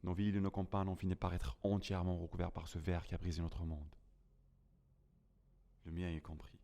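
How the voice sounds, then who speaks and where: A man's voice: low voice, little pitch movement, brisk, dark tone. A man playing a lonely, grieving survivor of a plant apocalypse, narrating a first-person audio drama in a somber, melancholic, dramatic way. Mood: grave, ominous.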